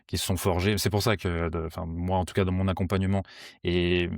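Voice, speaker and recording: A man's voice: low-pitched, level tone, fairly quick, dry recording, studio quality. A young man, a sales coach, talking casually and hesitantly with many fillers and restarts. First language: French. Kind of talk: casual podcast conversation.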